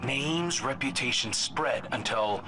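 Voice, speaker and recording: An actor playing an earnest, troubled scout in a video game cutscene, thoughtful and wry like a campfire storyteller. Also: male voice, mid-range voice, animated, takes it slowly, noisy background. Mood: intrigued storytelling.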